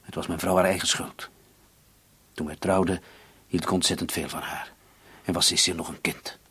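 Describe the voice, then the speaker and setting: An actor playing a defensive, irritable murder suspect in his late thirties, husky and breathy, in a radio drama. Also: low-pitched, level tone, moderate pace, sharp tone, heavily acted. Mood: bitter confession. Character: defensive, irritated 37-year-old male murder suspect in love. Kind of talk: Dutch-language radio drama dialogue.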